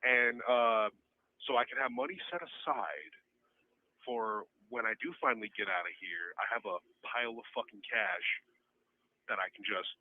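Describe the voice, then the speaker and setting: A man's voice: fairly low pitch, moderate pace. Guy in his 40s calling from jail, swears a lot, telling stories, thin phone sound. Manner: profane, emphatic, slangy. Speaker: inmate phoning in to a stream. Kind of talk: casual storytelling monologue. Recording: tinny, band-limited jail phone line.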